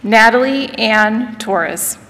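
Woman announcer, formal name reading, bit high, big echoing hall. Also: slow pace, fairly bright tone. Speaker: ceremony name reader. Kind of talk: reading graduates' names. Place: large hall, at a podium. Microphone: podium mic, far camera. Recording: long reverb of a large hall.

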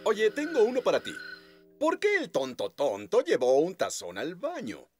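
A man's voice: high-pitched, normal speed, music in the background. A man voicing a cheerful, self-satisfied joking hippo in a children's cartoon dub. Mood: teasing, pleased. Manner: animated, jokey, chuckles at own jokes. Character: cheerful, self-satisfied joke-loving hippo, an adult male.

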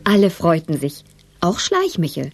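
A woman's voice: fairly low pitch, wildly swooping pitch, moderate pace, no room sound, faint music behind. A woman narrator reading a scripted story for a children's audio drama.

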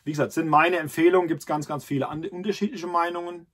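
A man's voice: fairly high pitch, fairly even intonation, fast talker, a bit bright. A man in his thirties, host of a camping channel, talking casually to the camera from inside a camper, with many fillers and restarts.

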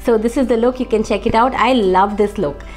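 A woman, hoarse from a cold, chatting to camera in a casual fashion vlog with many fillers. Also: a little high, fairly quick, a little mellow, soft background music. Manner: chatty, friendly, with frequent uh fillers. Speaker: fashion vlogger. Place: indoor room, seated facing camera.

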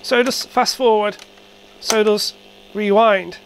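Casual tech hobbyist host, mid pitch, halting delivery, matter-of-fact, thinking aloud through a demo. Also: steady tone, slight background noise, rough recording. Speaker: host, retro computer hobbyist. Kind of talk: casual hands-on demonstration. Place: indoor cluttered workshop.

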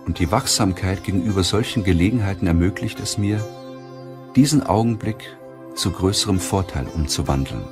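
Deep-voiced man narrating an audiobook. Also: expressive, moderate pace, music in the background. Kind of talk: scripted audiobook narration of a self-help book.

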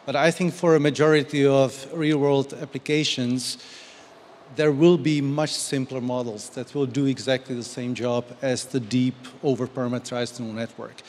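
Measured man on a panel, echoing hall. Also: medium pitch, little pitch movement, a little mellow. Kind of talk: panel discussion. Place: large glass-walled hall. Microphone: handheld mic. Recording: long reverb of a large hall.

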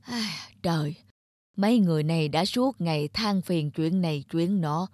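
A woman's voice: on the low side, normal speed, darkish tone, no room sound, clean studio sound, soft background music, natural voice. A woman playing a grumbling, disapproving old man. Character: grumbling, aloof older man with a mustache. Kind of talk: cartoon voice acting.

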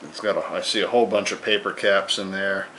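Man, low pitch, casual hands-on narration, halting, thinking aloud, handheld camera mic, noisy indoor room. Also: fairly even intonation, a bit bright. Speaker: hobbyist radio repairer presenting his own video. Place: indoors at a wooden table in a home. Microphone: handheld camera, held near the work.

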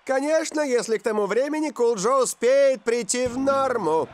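An actor playing a showy, upbeat male football commentator in an animated cartoon. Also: very high voice, moderate pace, soft background music. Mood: excited.

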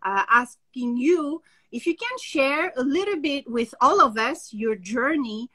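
Bright high-voiced woman host. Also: animated, a little slow. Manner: enthusiastic, hesitant, many uhs. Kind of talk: live interview introduction. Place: home office indoors. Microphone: laptop on a video call.

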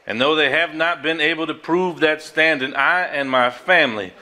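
A man's voice: on the high side, moderate pace, sharp tone. A man playing an earnest, plain-spoken Southern family man in a stage drama, with a Southern US accent. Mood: solemn reading. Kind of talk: live stage acting. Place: theater stage before audience.

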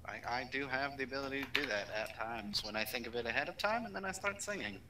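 A man's voice: mid-range voice, animated, fast talker, noisy background. A man chatting casually and teasingly as a co-player during a video game. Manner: teasing, bantering. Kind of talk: casual joking game chat.

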